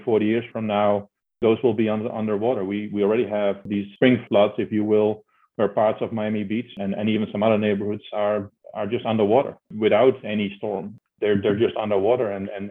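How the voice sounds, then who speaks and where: A middle-aged Dutch-accented man with a lowish voice, heard through a narrow, tinny connection. He talks like an expert guest in an interview, explaining step by step and often doubling back on words. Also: little pitch movement, fairly quick. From Netherlands. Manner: explanatory, repeats words as he builds his points. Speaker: interview guest, energy consulting executive. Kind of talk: conversational interview answers. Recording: narrow tinny phone-like line.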